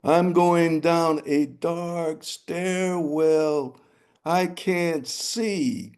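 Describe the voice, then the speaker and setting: A man voicing a cheeky, boastful rooster in a high, crowing voice. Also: fairly even intonation, very slow, a little mellow, clear, resonant tone. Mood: gleeful. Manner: high crowing, gleeful and mocking.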